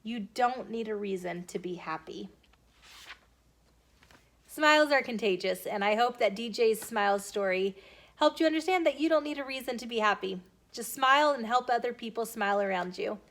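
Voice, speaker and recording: An American woman reading a children's storybook aloud in an expressive, animated way as a storytime host. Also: fairly high pitch, fairly quick. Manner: animated, emphatic character dialogue.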